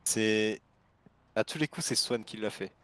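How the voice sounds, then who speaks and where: Low-voiced man in casual chat, dry sound. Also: unhurried, a bit bright, clear, resonant tone, no echo. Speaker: roleplay game player.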